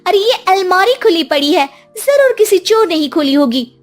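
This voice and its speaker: A woman voicing a spiteful, scheming young daughter-in-law in a cartoon story, with a shrill, scornful manner. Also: big pitch swings, normal speed, faint music behind. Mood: shrill alarm.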